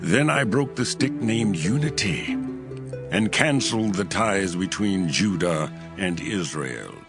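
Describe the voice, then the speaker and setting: Guy as fiery bible prophet, declaiming slowly, pitch swings a lot, music behind him. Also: expressive, exaggerated voice. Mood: resolute, indignant. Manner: dramatic, declamatory, emphatic. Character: impassioned male prophet narrating his visions. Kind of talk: dramatised scripture narration.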